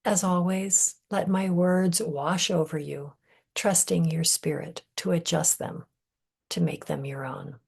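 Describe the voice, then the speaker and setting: A woman leading a guided meditation in a gentle, measured way, breathy and husky, often trailing off into a whisper with deliberate pauses. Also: lowish voice, fairly even intonation. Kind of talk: guided meditation, soothing scripted narration.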